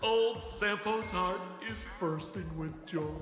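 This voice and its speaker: A man's voice: sits high, slow pace. A man voicing a flamboyant, smarmy, wheedling rogue merchant in a video game, effusive and theatrical. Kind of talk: video game character acting. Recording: echoey hall reverb, music behind. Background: music.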